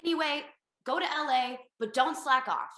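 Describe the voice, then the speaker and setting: Woman playing a bright, brash female boss. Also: high voice, normal speed. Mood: flippant, patronizing. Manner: breezy, bossy, profane. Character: brash, self-absorbed female streaming company boss. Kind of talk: screenplay table read, comedic acting. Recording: roomy home video-call audio.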